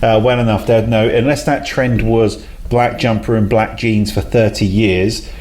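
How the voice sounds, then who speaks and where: A man's voice: lowish voice, fairly even intonation, a little slow, darkish tone. A man, a talkative, self-deprecating guest who laughs often in a casual interview. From South London, England. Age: 40s. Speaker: guest, head of a talent company.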